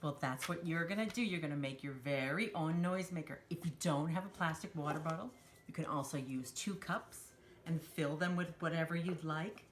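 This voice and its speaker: A woman's voice: low voice, brisk, background noise. A woman hosting a children's storytime, talking simply and encouragingly to young children as she demonstrates a craft. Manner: refers to herself in third person.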